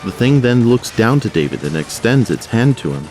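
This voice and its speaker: A man's voice: medium pitch, big pitch swings, normal speed, loud music behind the voice. A man narrating a scripted audio drama.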